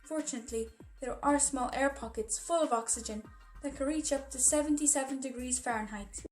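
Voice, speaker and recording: A woman voicing a calm, regal female pony princess who explains things with composed reassurance in a story reading. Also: high-pitched, fairly quick, faint music behind, voice pushed up, natural voice. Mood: informative.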